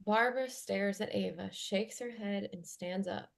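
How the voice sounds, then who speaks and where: A woman's voice: mid-range voice, unhurried, gravelly. A woman reading script stage directions as understated narration.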